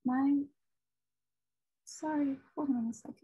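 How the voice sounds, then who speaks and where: A woman ethnographer with a quiet voice presenting research on a video call, casual with many ums and uhs. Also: sits high, steady tone, slow pace. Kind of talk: academic conference talk. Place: home room. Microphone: laptop webcam on video call.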